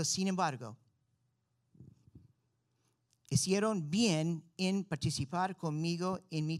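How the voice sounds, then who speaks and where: An American man, a native English speaker, giving an earnest missionary testimony and sermon to a church with an American accent. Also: on the high side. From Florida, United States. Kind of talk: testimony and preaching to a congregation.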